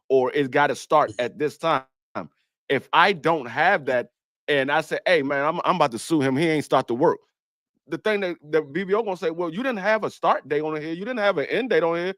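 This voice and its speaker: A man's voice: a little high, a bit bright, no echo, studio quality. A man co-hosting a boxing talk show, stammering as he argues a point through examples. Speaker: co-host. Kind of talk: casual sports chat.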